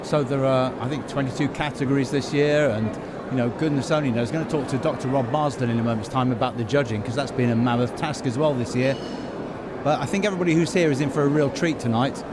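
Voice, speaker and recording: A man's voice: mid-range voice, fast talker. A man hosting a podcast, presenting and interviewing in an upbeat way backstage at a busy venue. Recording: noisy busy backstage location.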